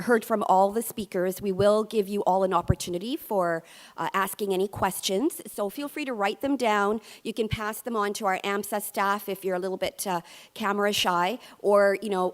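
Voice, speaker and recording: A woman moderating a meeting, introducing speakers in an informal, friendly way. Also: medium pitch. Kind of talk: event moderating.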